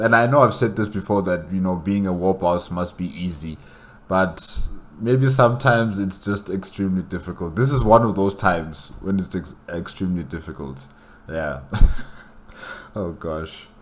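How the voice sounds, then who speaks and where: A man with a fairly low voice comments casually on what he is following. His delivery is conversational and halting, often doubling back on his words. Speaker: host reacting to a video. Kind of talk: casual spoken reaction commentary.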